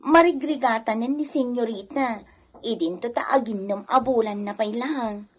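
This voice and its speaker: An actress playing a worried, loyal household servant in a radio drama, anxious and deferential, with a Filipino accent. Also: female voice, fairly high pitch, moderate pace, husky. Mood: anxious reporting. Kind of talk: Ilocano radio drama acting.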